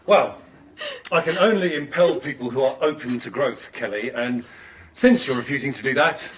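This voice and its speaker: An actor playing a smug, controlling self-help guru in a radio drama, with a pompous, condescending, measured delivery. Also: male voice, mid-range voice, a little hiss or hum, lightly acted.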